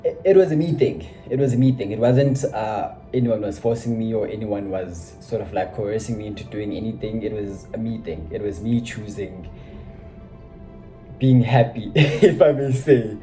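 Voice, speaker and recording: Young guy, low-pitched, warm and relaxed, laid-back self-mocking storyteller, soft music under him. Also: moderate pace. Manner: reflective, self-deprecating, many "you know" asides. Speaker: vlogger. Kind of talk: casual personal storytelling.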